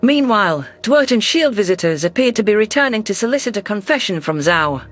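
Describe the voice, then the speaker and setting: A man narrating a science fiction story in an even, scripted storytelling delivery. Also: high voice, brisk, faint music behind.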